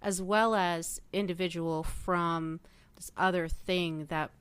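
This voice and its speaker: Female podcast panelist, lowish and crisp, animated casual delivery, very dry sound. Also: slow pace, no echo. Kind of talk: casual fan discussion.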